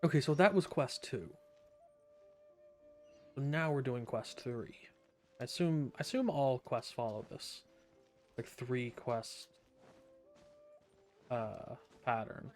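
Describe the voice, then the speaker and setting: Very low-voiced woman streamer, sarcastic. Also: slow, pauses often, soft background music. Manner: sarcastic, joking asides to the game. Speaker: game streamer. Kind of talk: casual live-stream commentary.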